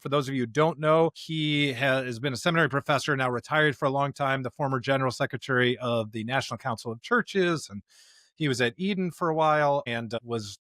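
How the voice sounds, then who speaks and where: A man's voice: mid-range voice, fairly bright tone, dry recording. An American man, a pastor co-hosting a podcast, speaking in an upbeat, emphatic way as he introduces and chats casually. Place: studio.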